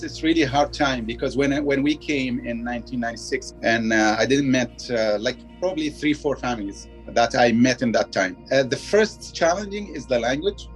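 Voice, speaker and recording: Brief: man, mid-pitched, halting interview testimony. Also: soft background music. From Iraq. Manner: hesitant, many fillers and restarts. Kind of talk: personal testimony.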